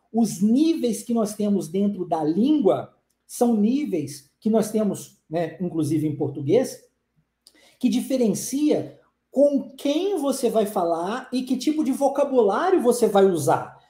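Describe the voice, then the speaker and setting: A man in his forties with a soft, mellow voice teaches a live online class. He talks emphatically, pushing his argument and repeating rhetorical questions to the viewers. The room around him rings with a noticeable echo. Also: very high-pitched. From born in Brazil, raised in the United States. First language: English. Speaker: English teacher.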